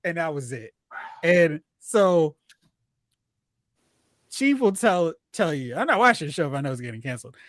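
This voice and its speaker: A man hosting a casual chat about TV and film, telling stories in an animated, exclamatory way. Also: a little high, normal speed, long pauses, dry recording, clean studio sound. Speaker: podcast host.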